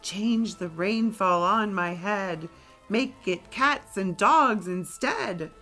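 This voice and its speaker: A woman voicing an earnest young storybook witch, chanting rhyming spells in a drawn-out singsong way. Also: mid-range voice, sharp tone, soft background music. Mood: incanting.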